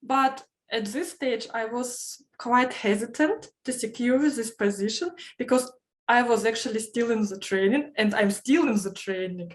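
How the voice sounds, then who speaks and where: A woman tells her own story in a prepared, measured testimonial. Her voice sits a little high for a woman, and her phrasing is careful and slightly formal, with the odd grammar slip. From Kazakhstan. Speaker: program trainee sharing her career story.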